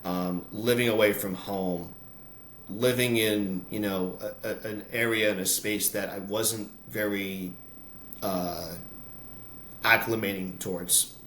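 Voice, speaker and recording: A man's voice: lowish voice, steady tone, slow pace, a bit bright. An American man talking conversationally as an interview guest, telling personal stories. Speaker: interview guest, announcer and voice-over artist. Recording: roomy echo, noticeable background noise.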